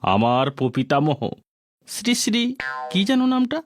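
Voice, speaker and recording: Boastful, wildly swooping cartoon barber. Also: male voice, on the high side, sing-song, unhurried, slight background noise. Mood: boastful, obsequious. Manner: obsequious, bragging. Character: boastful, rambling, servile royal barber. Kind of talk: Bengali comic cartoon voice acting.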